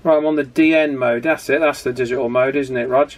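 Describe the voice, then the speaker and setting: A man's voice: medium pitch, fairly even intonation, fast. A man chatting casually as he hosts a radio hobby video, hesitant and conversational with frequent tag questions.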